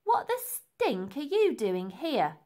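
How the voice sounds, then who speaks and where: A woman's voice: on the high side, huge pitch swings, slow pace, warm tone, voice pushed up, exaggerated voice. A woman voicing tough, hostile street-gang children in a storybook, bossy and confrontational. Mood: threatening.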